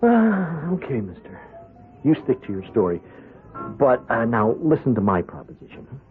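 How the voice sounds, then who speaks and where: An actor playing a pushy, cocky young small-time hoodlum in a radio drama, with an American accent and a wheedling, needling, slangy way of talking. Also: male voice, mid-range voice, wildly swooping pitch, moderate pace, phone-quality sound. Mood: insistent. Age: young adult. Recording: band-limited radio drama recording with music.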